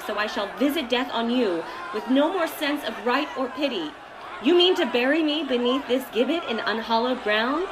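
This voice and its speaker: A woman's voice: high voice, background noise. An actress playing a condemned old woman who swears ghostly revenge, in old-time radio acting style. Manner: defiant, pleading then cursing.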